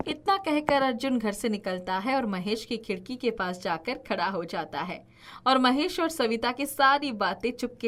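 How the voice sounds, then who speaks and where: A woman's voice: a little high, a bit bright. A woman narrating a scripted children's story as the narrator.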